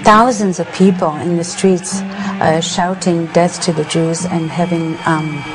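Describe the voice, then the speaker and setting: A woman giving personal testimony in a measured, pausing manner. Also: lowish voice, steady tone, low-quality recording. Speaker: interviewee. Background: music.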